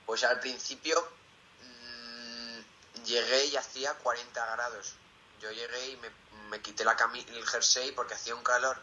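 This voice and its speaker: A man's voice: mid-range voice, little pitch movement, measured pace, sharp tone, lo-fi sound. A young man in his late teens from Zaragoza with a Peninsular Spanish accent, answering interview questions casually as a student. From Zaragoza, Spain.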